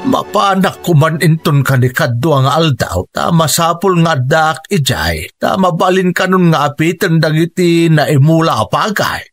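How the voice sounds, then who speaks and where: A man's voice: fairly high pitch, expressive, moderate pace, hardly any pauses, fairly bright tone, no room sound, faint music behind. A man playing an aging, earnest father and husband in a radio drama, with an Ilocano accent and a hesitant, sighing delivery. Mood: reassuring. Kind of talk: emotive radio drama acting.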